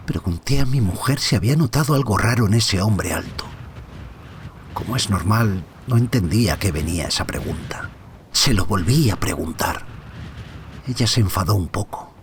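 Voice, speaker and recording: An anxious father telling his own mystery in an audio drama. His male voice sits low and stays brooding, often sinking toward a whisper. There is some background noise in the recording. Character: anxious married father, first-person narrator of a mystery. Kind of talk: audio fiction first-person dramatic narration.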